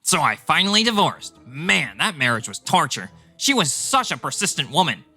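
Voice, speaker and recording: Guy doing an arrogant irritable husband, manga dub, sharp lively voice, faint music under it. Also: fairly high pitch, normal speed, husky, full character voice. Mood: smug, casual. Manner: dismissive, self-important. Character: arrogant, callous, irritable husband, adult man. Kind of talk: dramatized manga dub dialogue.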